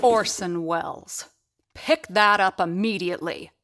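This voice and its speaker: An actress voicing a wry, sharp-tongued woman who does radio sound effects in a 1930s theater, narrating in character in an audio drama. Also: mid-range voice, measured pace, very noisy, lightly acted. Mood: stern. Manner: wry, sardonic.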